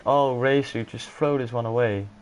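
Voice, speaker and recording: A man's voice: medium pitch, normal speed, a little hiss or hum, poor audio. A man with a Dutch accent commentating a live sim racing event, exclaiming and laughing at close moves. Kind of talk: live esports race commentary.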